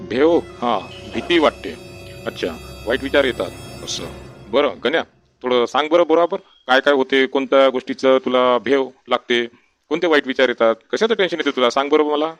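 A man's voice: medium pitch, normal speed, soft background music, close to an everyday voice. A man playing a village doctor in a comic cartoon, coaxing and colloquial. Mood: patient, probing. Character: male village doctor, patient but easily exasperated.

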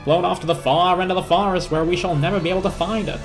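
A man's voice: high-pitched, steady tone, fast talker, music in the background, pitched above the performer's own voice. A man voicing an anxious talking radio in a storybook reading. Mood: dismayed.

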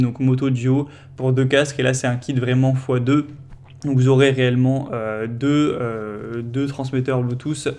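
A man's voice: mid-range voice, darkish tone. A man presenting a product comparison as a casual voice-over, explaining things in a conversational, loosely scripted way.

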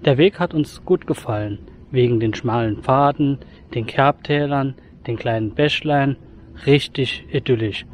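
A relaxed man in his forties chats to the camera while out on a forest hike, in a friendly vlog manner. His voice sits at a middle pitch. It is picked up by the camera's own mic, with a faint hiss of outdoor ambience behind him. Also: measured pace. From Germany. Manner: relaxed, friendly sign-off to viewers. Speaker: hiking vlogger. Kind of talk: casual vlog talk to camera. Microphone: camera mic, handheld selfie framing.

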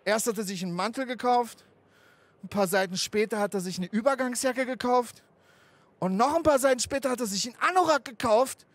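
A man in his thirties delivers a stand-up set into a handheld mic, out on a rooftop. His storytelling is animated and conversational, peppered with swearing. The sound is dry and close, with no room echo. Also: very high-pitched, fairly quick. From Afghanistan, raised in Germany. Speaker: stand-up comedian. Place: outdoor rooftop stage.

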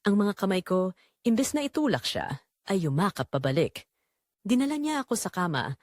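Expressive woman narrating, very dry sound. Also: medium pitch, moderate pace, dry recording. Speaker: narrator of an audio drama. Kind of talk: first-person dramatic romance story narration.

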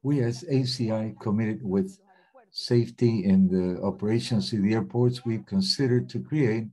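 A man with a low, mellow voice interpreting a webinar talk. He speaks in a steady, measured way with an airy, husky edge, and pauses now and then as he works. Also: rough recording. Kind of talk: simultaneous interpretation of webinar talks. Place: remote webinar.

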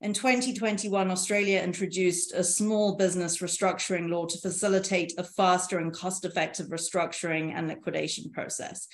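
Low-voiced woman presenting over a video call. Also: steady tone. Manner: measured, explanatory, directs slide changes. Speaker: panelist presenting on insolvency reform. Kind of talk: presentation over shared slides. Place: indoor room, video call. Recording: echoey room on a video call.

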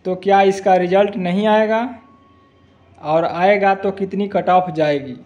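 A man's voice: sits high, unhurried, a little mellow, muffled recording. A young man giving an informal explanation to his viewers, recorded on a phone at arm's length indoors. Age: young adult. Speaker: exam-update video host. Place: indoor room at home.

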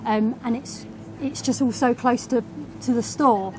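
Retail manager, 40s, friendly chatter, clear shop noise behind. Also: female voice, a little high, a little slow. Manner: friendly, chatty, frequent ums. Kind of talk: on-site interview. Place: convenience store, indoors. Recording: noisy shop background. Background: shop ambience.

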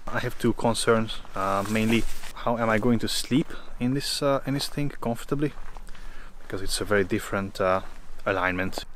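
A man in his thirties from Hungary, casually talking to a close-held camera outdoors in the woods, often pausing with uh. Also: fairly low pitch, fairly bright tone, slight background noise. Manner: hesitant, many filler uhs. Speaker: vlogger.

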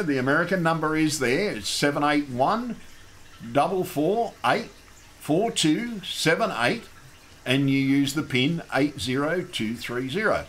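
A man's voice: a little high, a bit bright, slight background noise. A man with an Australian accent hosting a casual call-in show, rambling and chatty with lots of ums and restarts.

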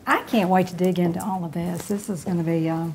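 A woman's voice: lowish voice, fairly even intonation, a little fast, darkish tone, a little hiss or hum. A woman in her sixties hosting a cooking show in an appreciative, encouraging, conversational way. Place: indoor studio kitchen.

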